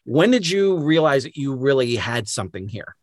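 An interviewer hosting a casual chat, encouraging and quick to affirm the guest. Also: medium pitch, sing-song, no room sound, studio quality. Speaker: interviewer host.

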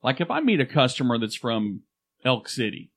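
A man's voice: mid-range voice, very animated, moderate pace. A man with an Oklahoma accent co-hosting a casual podcast, chatty and playful, given to slipping into other voices. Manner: playful, slips into put-on accents. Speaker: podcast co-host.

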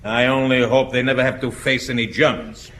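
An actor playing a scornful, haughty army captain in a radio sitcom, curt and disdainful. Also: male voice, medium pitch, brisk, a bit bright, close to an everyday voice.

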